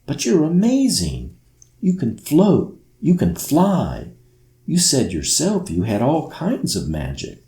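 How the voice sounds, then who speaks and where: A man's voice: mid-range voice, wildly swooping pitch, slow pace, dark tone, roomy sound, pitched above the performer's own voice, heavily acted. A man voicing an earnest, eager young girl in a children's bedtime story. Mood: concerned, insistent. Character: Thompson, earnest young girl, frustrated, eager, full of wonder.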